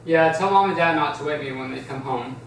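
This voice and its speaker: A man's voice: a little high, a little fast, natural voice. Man reading as tired schoolboy brother, echoey room. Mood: casual. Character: tired, stressed schoolboy brother hiding his worries. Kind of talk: amateur script table read. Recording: very echoey room, distant mic, background hiss.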